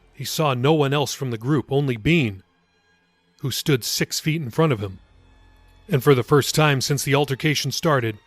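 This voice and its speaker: A man reading his own novel aloud as dramatic, scripted audiobook narration. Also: mid-range voice. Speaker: author reading own novel, podcast host. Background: faint music.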